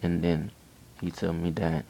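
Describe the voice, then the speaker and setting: A man with a Haitian accent speaks low and slow, his voice gravelly with fry. He tells his faith story earnestly and haltingly. A faint hiss sits under the recording. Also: level tone, mellow. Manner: earnest, halting, with frequent restarts. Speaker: person giving a religious testimony. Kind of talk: personal faith testimony.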